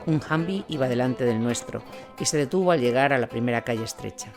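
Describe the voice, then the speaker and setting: A man's voice: mid-range voice, brisk. A man delivers scripted dramatic narration of a war story. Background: instrumental music.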